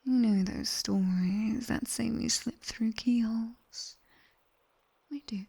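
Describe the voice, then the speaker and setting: Slow, whispering British demoness tutor played by a woman. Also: a little high, fairly even intonation, dark tone, heavily acted. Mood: soothing, instructive. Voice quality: breathy whisper. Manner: slow, intimate, teasing, commanding. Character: sharp-tongued, teasing female nightmare demon tutor. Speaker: ASMR performer. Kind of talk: whispered ASMR roleplay, guided body scan. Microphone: close binaural ear microphone.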